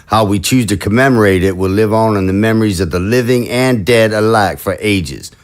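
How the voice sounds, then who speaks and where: An actor playing a leader who weighs advice and gives orders in a measured, authoritative way, in a radio drama. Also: male voice, lowish voice, close to an everyday voice. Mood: commanding. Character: measured male leader, commanding and diplomatic. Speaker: head of state giving orders.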